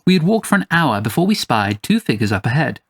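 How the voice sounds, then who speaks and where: A man's voice: medium pitch, very animated, fast, fairly bright tone, slightly rough, dry recording. A man reading scripted first-person fantasy fiction as its storyteller. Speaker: storyteller, narrator.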